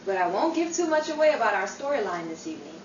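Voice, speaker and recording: A woman's voice: medium pitch, very animated, quick pace, natural voice. A woman playing a theatrical narrator introducing a 1940s-style radio drama with a scripted, inviting delivery. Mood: inviting, dramatic. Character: theatrical 1940s radio show announcer Pike. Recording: dull, noisy, echoey, distant mic.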